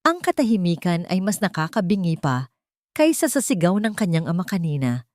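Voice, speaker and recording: A woman's voice: on the low side, big pitch swings, a little fast, a little mellow, no room sound, clean studio sound. A woman narrates a dramatic scripted story in a solemn, emotive way.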